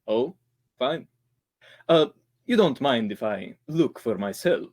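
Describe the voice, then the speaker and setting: A suave, scheming doctor from an old-time radio thriller, performed by a man. The delivery is very slow and drawn out, swinging widely in pitch, with theatrical courtesy covering sly calculation. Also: expressive, darkish tone. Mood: eager, sly. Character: suave, scheming male doctor who hires killers.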